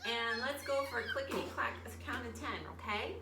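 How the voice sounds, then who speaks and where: High-voiced woman teaching kids' yoga, roomy sound. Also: steady tone, moderate pace, creaky, over music. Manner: encouraging, simple instructions for young children. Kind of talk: guided children's yoga lesson. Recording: roomy, distant mic, faint background noise.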